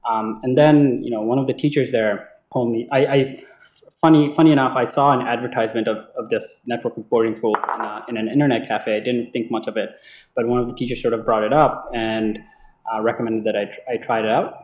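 A man's voice: medium pitch, fairly even intonation, a little fast, a little mellow. A young man in his twenties from Bangladesh, a native Bengali speaker, telling stories casually as an interview guest, often hesitating and repeating words. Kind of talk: casual conversational storytelling. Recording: echoey, roomy sound.

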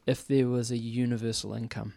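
40s guy thinking out loud, lots of restarts, dead dry sound, boom mic. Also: level tone, a little fast, creaky, dry recording. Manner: thoughtful, restarts a lot, talks with his hands. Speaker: healthcare worker. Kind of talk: casual conversation.

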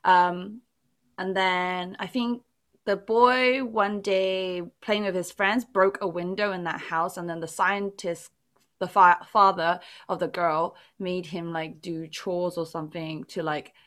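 A woman's voice: lowish voice, slow pace. A woman born in England whose first language was not English, chatting casually and telling a rambling story full of "like"s. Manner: animated storytelling, rambling with many "like"s. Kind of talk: casual chat.

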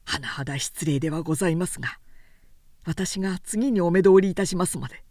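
A woman voicing an earnest young male craftsman who shifts from polite, formal speech to rough, plain-spoken talk. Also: lowish voice, brisk, a little mellow, dry recording, clean studio sound, natural voice. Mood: deferential.

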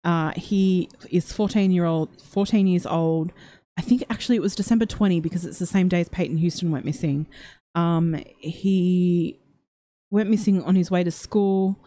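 A woman's voice: lowish voice, fairly even intonation, moderate pace, dark tone. A woman hosting a livestream in casual chat, reading out viewer comments and rambling with many fillers and restarts.

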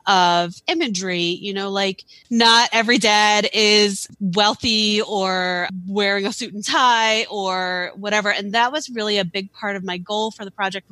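Podcast guest, woman, bright clear voice, dry close sound, earnest and reflective. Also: medium pitch, no room sound. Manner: thoughtful, earnest, many restarts and "like". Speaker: photographer guest. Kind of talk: casual podcast conversation. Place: Philadelphia area.

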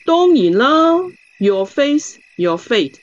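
A woman's voice: a little high, big pitch swings, slow. Blunt Hong Kong stargazer woman, slightly muffled. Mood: mocking, blunt. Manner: blunt, sharp-tongued, teasing. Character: blunt, aloof young woman stargazer called "big sister". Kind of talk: Cantonese radio drama acting.

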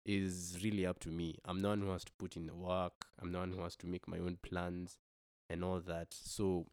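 A young man from Kenya with a very low voice speaks as a podcast guest. He talks in a reflective, hesitant way, often restarting his sentences. The recording is dry and close to the mic. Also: little pitch movement, normal speed, darkish tone, smooth, no echo. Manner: thoughtful, hesitant with many restarts. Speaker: guest musician.

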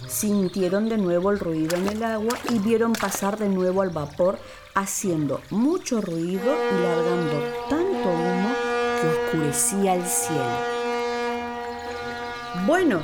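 A woman narrating a children's story in an expressive, deliberate, theatrical way. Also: medium pitch, over loud music. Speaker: actress, storyteller.

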